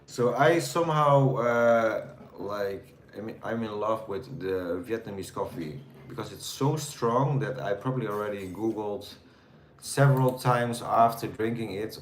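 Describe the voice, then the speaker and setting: A man from the Netherlands with a Dutch accent, chatting casually with viewers on a livestream in a relaxed, rambling way while reading their comments. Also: fairly low pitch, unhurried, echoey room, slight background noise. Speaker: livestream host. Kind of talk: casual live chat answering viewers.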